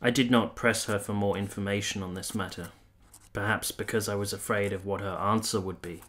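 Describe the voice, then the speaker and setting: A man acting a courteous, reserved young army captain in a radio play, speaking in a formal, measured, literary way. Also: lowish voice, steady tone, fairly bright tone. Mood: sombre, reflective. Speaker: actor and narrator in a radio play. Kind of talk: literary first-person narration and radio drama dialogue.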